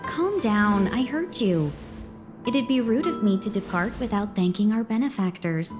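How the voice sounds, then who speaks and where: A woman's voice: mid-range voice, animated, normal speed, close to an everyday voice. A woman voicing a polite, refined young merchant ambassador in a video game, with formal, flowery courtesy. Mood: gracious, composed. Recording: music under the voice.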